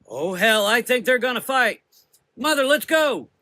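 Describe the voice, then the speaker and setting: A man voicing a brash, excitable young woman fighter in a gothic audio drama, blunt and slangy. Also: very high-pitched, measured pace, pitched above the performer's own voice, heavily acted. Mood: urgent, shouting.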